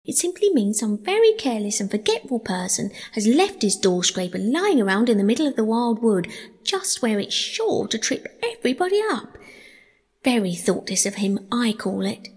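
A woman's voice: on the high side, big pitch swings, moderate pace, a little mellow, breathy edge, long reverb tail. A woman voicing a sulky, literal-minded male mole from a children's storybook, complaining in a pettish, miserable manner. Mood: slow, dismissive.

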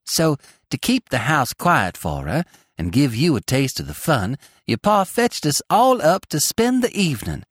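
A man voicing a brisk, chatty middle-aged country aunt who rattles on in rustic fashion, as a storybook character. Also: on the high side, sing-song, dry recording, studio quality. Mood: brisk, merry.

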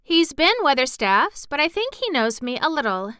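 A woman's voice: sits high, sing-song, moderate pace, pushed and bright, no echo, clean studio sound, pitched above the performer's own voice, exaggerated voice. A woman voicing a shy, earnest young girl with proper, careful speech in a storybook reading. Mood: hopeful, curious.

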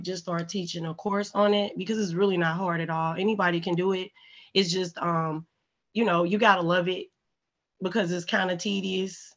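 A woman from St. Louis chats on a podcast in a raspy, congested voice. She tells her stories bluntly and animatedly, with plenty of swearing. The audio sounds a little dull and muffled. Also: lowish voice. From St. Louis, USA. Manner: blunt, profane, animated storytelling. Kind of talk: casual, candid podcast chat.

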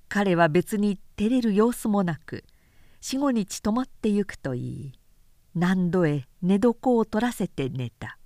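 A woman narrator giving a measured, clearly articulated reading of literary fiction in audiobook style. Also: mid-range voice, darkish tone, dry recording, studio quality.

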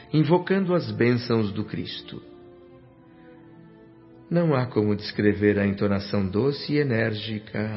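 Radio play narrator: awed, formal spirit recounting memories, slightly dull recording with background music. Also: male voice, lowish voice. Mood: reverent. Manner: solemn, reverent, literary delivery. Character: reverent male spirit recalling his afterlife experiences. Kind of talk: scripted radio drama narration.

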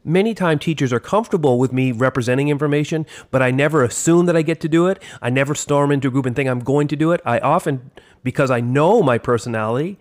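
Booming theatrical man, podcast co-host. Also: quick pace. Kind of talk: casual podcast chat.

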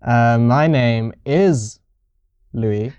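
A man's voice: medium pitch, extremely slow, a little mellow, clean tone, dry recording, muffled recording. A man co-hosting a podcast, chatting casually about movies in a loose, bantering style. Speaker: podcast co-host.

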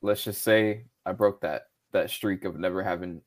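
A man with a slightly low voice that is going hoarse, talking in a loose, easygoing way. He swears freely and keeps tossing in "bro" and "man" as he co-hosts a live show. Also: steady tone, moderate pace. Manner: laid-back, loose, swears a lot, says "bro" and "man". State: losing his voice. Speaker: podcast co-host. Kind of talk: casual live-stream chat.

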